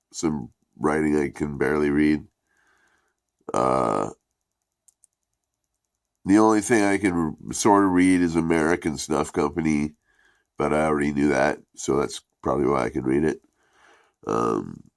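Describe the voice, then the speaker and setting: Very deep gravelly congested man. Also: no room sound, studio quality. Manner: profane, rambling, laid-back. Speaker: product reviewer. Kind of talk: casual rambling review.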